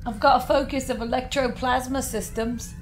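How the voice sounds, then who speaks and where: High-voiced woman in noisy game session. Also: steady tone, moderate pace. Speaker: player in a tabletop role-playing game. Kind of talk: casual banter. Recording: noisy background ambience.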